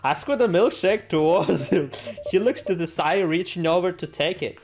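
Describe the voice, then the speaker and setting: Playful higher-pitched man over game music. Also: normal speed, gravelly, a little mellow. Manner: playful, theatrical, exclaims and jokes to himself. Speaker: gaming video host. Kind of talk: let's play, reading game text aloud with joking asides. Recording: music behind the voice.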